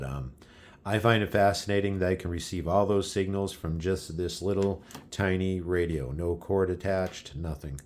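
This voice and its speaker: A man hosting a casual, unscripted radio hobby video, explaining things in a low-key, rambling, apologetic way. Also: darkish tone, a little hiss or hum.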